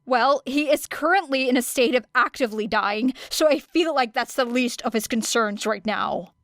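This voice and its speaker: A woman's voice: a little high, moderate pace, voice pushed up, natural voice. A woman voicing a wounded, stubborn girl fighter in an audiobook, talking in an urgent, curt, snappish way. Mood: snapping, exasperated.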